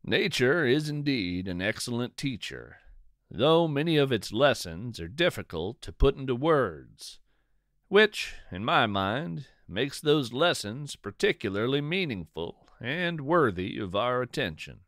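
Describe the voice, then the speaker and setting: A man narrating scripted stories and poetry as a podcast host, in a deliberate, literary manner. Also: mid-range voice, big pitch swings, a bit bright, no echo, studio quality. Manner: deliberate, measured, literary delivery.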